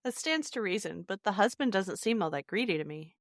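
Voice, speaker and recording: A woman's voice: mid-range voice, animated, brisk, no echo, studio quality. A woman hosting a podcast, chatting casually about a story and steering the discussion.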